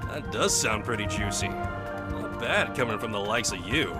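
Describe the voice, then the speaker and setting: Man acting as a brash, animated American soldier guy. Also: lowish voice, normal speed, loud music behind the voice, lightly acted. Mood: eager. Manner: brash, slangy, grumbling. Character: cocky, gruff young male soldier, slangy thrill-seeker. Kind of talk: video game cutscene acting.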